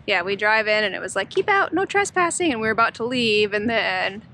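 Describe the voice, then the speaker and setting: A woman's voice: expressive, a little fast, bright, forceful tone. A woman in her thirties chatting casually as she hosts an outdoor vlog on a handheld camera. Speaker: vlog host. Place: outdoors on trails and roadsides. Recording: noisy outdoor recording with ambient rumble.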